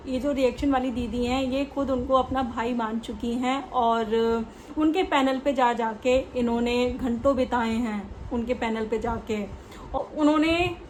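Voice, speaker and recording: Female reaction host, high-pitched, conversational and explanatory, drops in English words, faint background noise. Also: low-quality recording. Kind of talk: casual opinion commentary on online drama.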